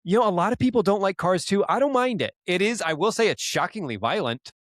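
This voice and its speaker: A man speaking as a guest on a podcast, talking in a relaxed, rambling way with frequent restarts. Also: high voice, quick pace, no room sound, studio quality. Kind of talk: casual podcast interview.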